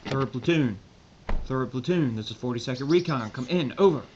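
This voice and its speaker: An actor playing a stubborn, embattled army major in an audio drama, barking orders and radio procedure. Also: male voice, mid-range voice, expressive, moderate pace, close to an everyday voice. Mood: urgent. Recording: muffled, lo-fi, with background noise.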